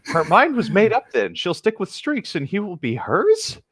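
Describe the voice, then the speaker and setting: A man's voice: sits high, wildly swooping pitch, normal speed, fairly bright tone, slightly rough, no room sound. A man reading a story aloud as co-host and breaking off for amused, casual asides. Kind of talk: story narration with casual commentary.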